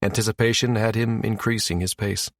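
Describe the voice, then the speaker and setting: Low-voiced male audiobook narrator, close dry sound. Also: steady tone, a little fast, dry recording. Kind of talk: scripted narration of a science fiction story.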